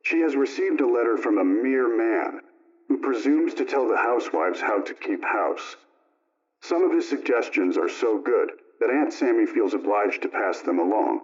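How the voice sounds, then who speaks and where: A man announcing in a formal, measured way, reading a scripted introduction in 1920s radio style. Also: mid-range voice, expressive. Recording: dull, lo-fi old radio recording.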